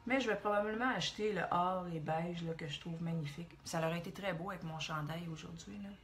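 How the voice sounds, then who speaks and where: A woman's voice: lowish voice, fast talker, faint music behind. A woman in her forties with a Quebec French accent, chatting casually through a makeup tutorial and rambling as she thinks aloud. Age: 40s.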